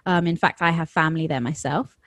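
A woman with a London accent hosting a podcast interview, speaking in a measured, thoughtful way with some hesitant fillers. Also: low-pitched, no echo. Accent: London English. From England. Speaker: podcast host.

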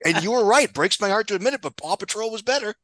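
A man's voice: high-pitched, lively intonation, quick pace, fairly bright tone, no echo. A man talking as a guest pundit in an opinionated podcast discussion, blunt and sardonic, with casual swearing and frequent digressions. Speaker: guest film box office pundit.

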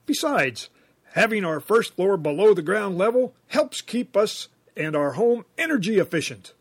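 A man's voice: sits high, lively intonation, moderate pace, breathy edge, no echo, pitched above the performer's own voice, heavily acted. A man playing an earnest, bumbling handyman dad in a comedy radio sketch, sheepish and hesitant when explaining himself. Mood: sheepish, defensive.

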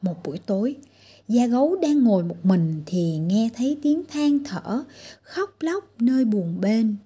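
A woman reading a scripted fairy tale for children as a storyteller, with a husky, breathy voice. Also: medium pitch, warm tone.

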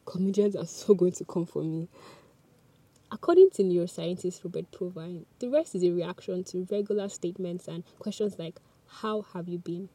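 A woman's voice: lowish voice, moderate pace, warm tone, slightly rough, dry recording. A woman whose first language is Yoruba, giving an earnest scripted motivational talk on a podcast and speaking straight to the listener. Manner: earnest and chatty, addresses the listener directly.

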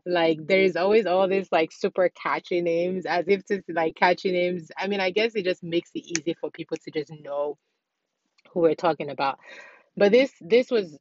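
Low-voiced woman rambling in her car. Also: moderate pace, dull audio, slight background noise. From Nigeria. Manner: rambling, many fillers and restarts. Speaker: podcast host. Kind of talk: casual solo podcast chat. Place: inside a car.

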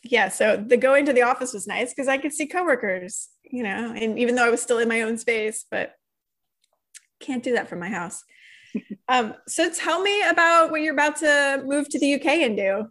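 A woman hosting a casual interview, talking loosely with many fillers and quick laughs. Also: on the high side, a bit bright. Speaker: host, interviewer.

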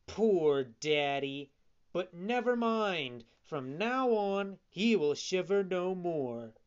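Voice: mid-range voice, lively intonation, slow, clear, resonant tone, highs cut off, voice pushed up. A narrator voicing a naive, kind-hearted wooden puppet boy, eager and earnest, in a storybook reading. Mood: proud, eager.

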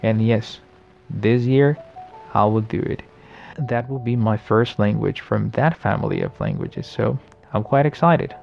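A man in his late twenties hosting a casual solo podcast, talking in a friendly, reflective way, often pausing and restarting his sentences. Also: on the low side, big pitch swings, vocal fry, a little mellow, soft background music. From the Americas. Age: late 20s. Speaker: podcast host.

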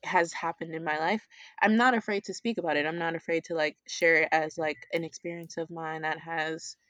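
A woman's voice: low voice, fairly even intonation, brisk, a bit bright, no echo. A woman co-hosting a casual conversation, talking openly and reflectively with frequent restarts.

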